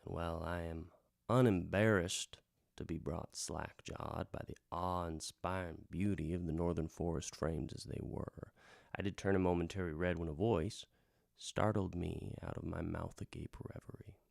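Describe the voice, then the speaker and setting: A low-voiced man narrates like a wandering bard in a radio drama. His delivery is unhurried and theatrical, with folksy, florid phrasing that drops into hushed emphasis. The voice has a gravelly fry and sounds close and dry. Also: fairly even intonation, no room sound. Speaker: host, storytelling bard. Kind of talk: theatrical first-person fantasy storytelling, radio drama.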